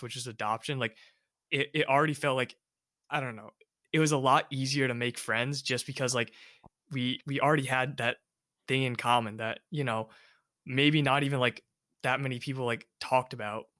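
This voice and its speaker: A man's voice: mid-range voice, moderate pace, no room sound, clean studio sound. A young man hosting a casual chat, talking loosely with lots of filler words. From United States. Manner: relaxed, rambling, many fillers. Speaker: host.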